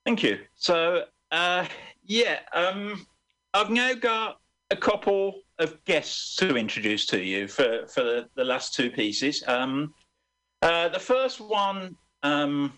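Brief: comedy radio host, slightly raised pitch, hesitant and wry. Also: male voice, bright, forceful tone, rough recording. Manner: hesitant, filler-heavy, self-mocking.

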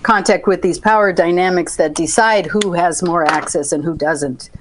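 A woman's voice: fairly low pitch, moderate pace, noisy background. A woman speaking as a guest researcher in an interview, explaining her points reflectively and with emphasis.

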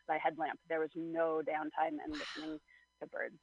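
A man with a somewhat high voice gives unhurried, considered answers, pausing on fillers as he goes. The sound is a bit dull and lo-fi, with a very dry, close feel. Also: completely flat delivery, husky, no room sound. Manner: thoughtful, measured, many fillers. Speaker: guest. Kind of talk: conversational interview answers.

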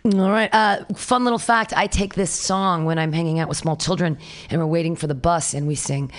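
A woman's voice: on the low side, steady tone, brisk. A woman casually hosting a live comedy show, rambling playfully off the cuff.

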